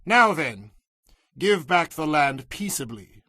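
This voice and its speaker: A man's voice: fairly high pitch, very animated, takes it slowly, bright, forceful tone, dry recording, studio quality, full character voice. An actor playing an aggrieved, demanding ancient king in an audio Bible drama, with an imperious manner.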